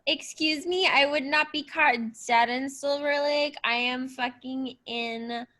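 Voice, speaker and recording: A woman's voice: high voice, steady tone, measured pace, sharp tone. A woman playing a bratty, tipsy Hollywood party girl in improvised comedy, whiny, drawling and entitled.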